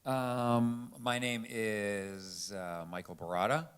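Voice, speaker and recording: A middle-aged man casually introducing himself to a live audience, halting and wry as he goes. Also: fairly low pitch, fairly even intonation, fairly bright tone, smooth. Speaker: panelist. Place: church panel before an audience. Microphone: live sound microphone.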